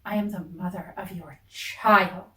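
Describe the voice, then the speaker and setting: A woman's voice: fairly low pitch, measured pace, sharp tone. A woman playing a proud, passionate wife and mother in a theatrical period drama table read, dramatic and earnest, on a roomy, distant microphone. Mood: indignant.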